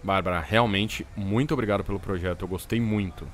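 A friendly, appreciative businessman, acted by a man with a Brazilian accent, talking quickly in a lowish voice. Faint ambience sits behind this audio drama dialogue. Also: slight background noise, close to an everyday voice. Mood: grateful. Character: appreciative, friendly businessman, adult male client.

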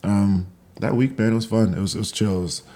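A young man, a fighter, chatting casually as a podcast guest with a relaxed, rambling manner full of fillers. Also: fairly low pitch, fairly quick. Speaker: guest, amateur MMA fighter.

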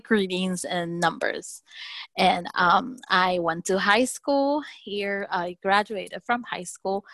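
A woman's voice: mid-range voice, fairly bright tone, dry recording. A woman in her thirties from Peru, whose first language is Spanish, answering interview questions thoughtfully with hesitations and restarts. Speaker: podcast guest.

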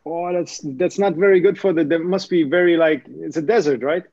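A man hosting a podcast interview, talking in halting phrases with many fillers and restarts. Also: fairly high pitch, vocal fry, a little mellow, dry recording, dull audio. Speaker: podcast host. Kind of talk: casual interview.

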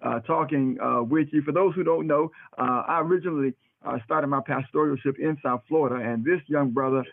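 A man's voice: a little high, fairly quick, gravelly, few pauses. A middle-aged man hosting a radio interview in a casual, effusive, brotherly way, often repeating his phrases. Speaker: radio host and pastor. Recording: muffled phone-like radio audio, roomy echo.

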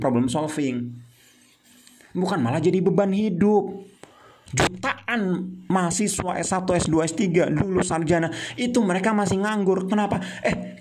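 A man's voice: medium pitch, a little mellow. A man in his thirties giving a heated motivational talk as founder of a youth religious movement, mixing in English terms and Arabic phrases.

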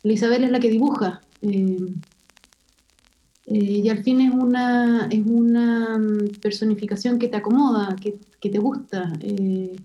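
A woman's voice: on the high side, fairly even intonation, measured pace, mellow. Hesitant Chilean woman, muffled sound. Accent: Chilean Spanish. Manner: thoughtful, hesitant with many fillers. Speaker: illustrator guest. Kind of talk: casual interview conversation.

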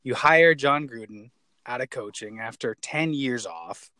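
A man's voice: medium pitch, expressive, a little slow, pushed and bright, dry recording. A man hosting a casual podcast interview, playful and wisecracking. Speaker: podcast host.

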